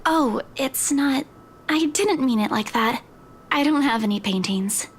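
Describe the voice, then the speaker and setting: An actress voicing a defensive, downcast young estate agent in a visual novel game. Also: female voice, high voice, animated, a little slow, slightly rough, exaggerated voice.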